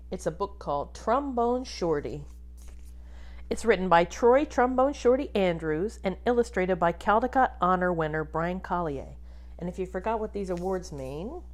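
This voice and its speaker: A woman teacher reading a picture book aloud to children expressively, pausing to ask them questions and point things out. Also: on the low side. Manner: animated, addresses young children with questions.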